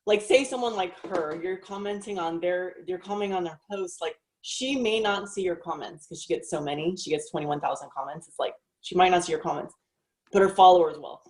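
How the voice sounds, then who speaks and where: A woman's voice: on the low side, fairly quick, a little mellow, poor audio. A woman hosting a casual live training chat, talking in an enthusiastic, gushing way. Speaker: host of a team training live.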